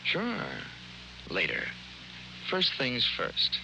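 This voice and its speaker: A man's voice: medium pitch, wildly swooping pitch, extremely slow, gravelly, noisy background, full character voice. A man acting a wry, flirtatious insurance investigator in 1940s radio detective style, with breezy wisecracks. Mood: wry, businesslike. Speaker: lead actor and narrator. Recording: old 1940s radio broadcast, music behind.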